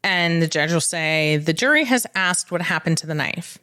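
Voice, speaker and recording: A woman's voice: sits low, expressive, normal speed, pushed and bright. A woman co-hosting a podcast as the legal expert, explaining things in casual chat and acting out courtroom dialogue as she goes.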